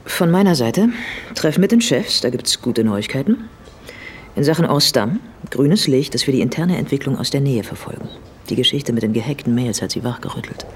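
An actor playing a businesslike police investigator with a clipped, matter-of-fact manner in a radio drama. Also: male voice, mid-range voice, expressive, a little fast, slight background noise, lightly acted. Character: businesslike male police investigator leading a team.

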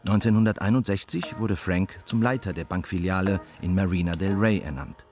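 An actor playing a calm, matter-of-fact storyteller, giving measured scripted narration in a radio drama. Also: male voice, sits low. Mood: sober, factual. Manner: sober, measured, factual. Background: music.

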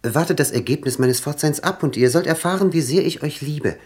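An actor playing an eager, ambitious young poet in a radio drama, fervent and theatrical. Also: male voice, a little high, fairly quick, a little mellow, husky. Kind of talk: German radio drama acting.

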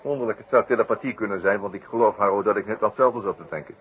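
A man's voice: on the low side, quick pace, husky, highs cut off. A man playing a shrewd detective in a 1960s Dutch radio drama, musing in a wordy, hesitant, drily ironic way. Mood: wry musing. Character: shrewd, wry male detective, musing and deliberate. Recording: noisy old 1960s radio recording.

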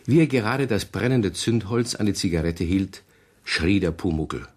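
A man narrating a children's radio play, telling the story in an expressive, measured way. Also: sits low, slightly rough.

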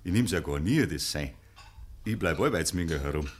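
A man's voice: rumbling low, expressive, normal speed, husky, exaggerated voice. A man playing an eager, good-humoured suitor in a Bavarian dialect radio drama from the 1950s, coaxing and earnest. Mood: earnest courting.

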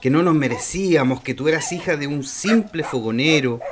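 Actor as an exasperated husband, swooping intonation, noisy recording. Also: male voice, a little high, animated, fairly quick, natural voice. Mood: reproachful, exasperated. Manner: insistent, reproachful, dramatic. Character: impatient, exasperated husband, weary of family ghosts. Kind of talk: Spanish-language radio drama acting.

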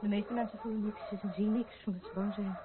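An actress playing an intense, haunted woman in a Dutch radio drama, brooding, earnest and halting. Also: mid-range voice, steady tone, noisy background, lightly acted. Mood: brooding, hushed. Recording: muffled, phone-like old radio play recording.

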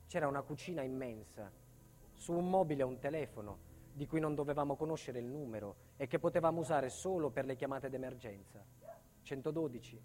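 A man's voice: medium pitch, darkish tone, a little hiss or hum, close to an everyday voice. A male actor playing an embittered protected witness recounting a life in hiding, in a solemn, intense theatre monologue. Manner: solemn, intense, deliberate phrasing. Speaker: stage actor and narrator. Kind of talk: dramatic first-person theatre monologue.

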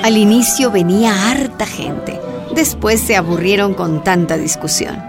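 A woman's voice: mid-range voice, unhurried, music in the background. A woman narrating a scripted radio drama.

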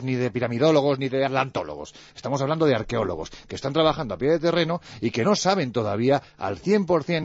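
Fast-talking man on lo-fi radio. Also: mid-range voice, no echo. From Andalusia. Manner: animated, jokey, frequent fillers. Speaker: radio contributor on mysteries. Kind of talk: lively radio chat and storytelling.

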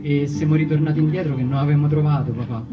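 A man's voice: a little high, steady tone, racing. A man with a Roman accent giving colloquial, reminiscing spoken testimony as a stage storyteller. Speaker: stage storyteller in a theatre company. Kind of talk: spoken testimony in music theatre. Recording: dull lo-fi, loud guitar underneath.

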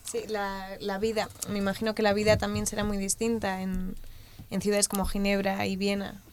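A woman's voice: mid-range voice, monotone, fast talker, slight background noise. A woman interviewing, asking questions and giving short attentive acknowledgments.

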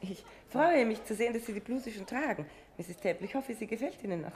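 A woman's voice: on the high side, expressive, fairly quick, darkish tone, natural voice. An actress playing a courteous, guarded woman dressmaker who turns evasive under questioning, in a 1950s radio play. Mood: friendly.

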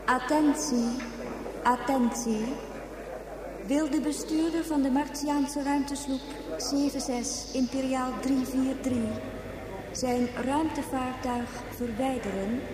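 An actress playing a formal spaceport announcer in a radio drama, giving measured public notices. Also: female voice, sits high, fairly even intonation, a crowd in the background. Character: official female spaceport announcer calling out notices. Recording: echoey public address in busy hall.

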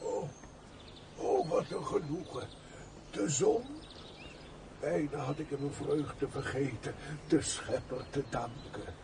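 An actor playing a pious, frail peasant of about seventy in a theatrical radio play, with an aged voice and emotive sighs. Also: male voice, on the high side. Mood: grateful wonder. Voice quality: creaky, aged voice. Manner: slow, emotive, full of sighs and exclamations. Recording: faint background noise, old radio play.

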